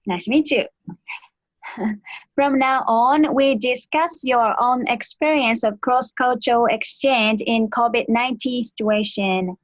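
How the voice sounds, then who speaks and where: A young woman facilitating a student panel talk in English, with lots of soft murmurs of agreement. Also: fairly high pitch, unhurried, dry recording, rough recording. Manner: frequent soft backchannel murmurs and laughs.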